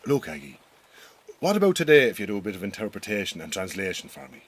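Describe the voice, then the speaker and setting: An actor playing an awkward, well-meaning Irish farm boss in a radio drama, with an Irish accent and a blunt but stumbling way of talking. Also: male voice, lowish voice, huge pitch swings, quick pace, breathy edge, full character voice. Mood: awkward, friendly.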